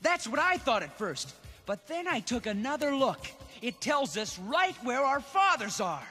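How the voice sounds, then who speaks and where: A man's voice: very high voice, big pitch swings, unhurried, heavily acted. A man voicing a brave, earnest young ghostbuster leader in a cartoon, with a decisive manner. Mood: confident. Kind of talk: cartoon hero acting. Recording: long reverb, loud music underneath.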